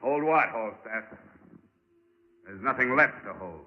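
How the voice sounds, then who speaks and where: A man's voice: lowish voice, lively intonation, unhurried, slight background noise. An actor playing a tough, wisecracking insurance investigator who needles his suspects and narrates his own case, in a 1940s radio drama on a dull old broadcast recording. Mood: taunting. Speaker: narrator and lead.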